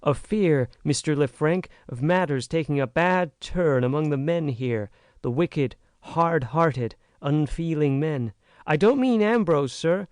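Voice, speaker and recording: A man's voice: a little high, unhurried, darkish tone, no room sound, studio quality, close to an everyday voice. A man reading an audiobook, voicing an earnest, frank young American woman who pleads with emphasis. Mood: earnest pleading.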